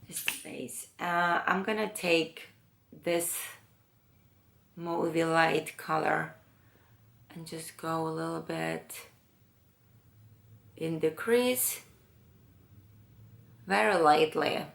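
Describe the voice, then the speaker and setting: A woman with a low, raspy voice chatting slowly through a makeup tutorial, pausing often. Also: fairly bright tone, echoey room. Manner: unhurried, musing, with many pauses and fillers. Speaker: beauty vlogger. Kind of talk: casual makeup tutorial chat.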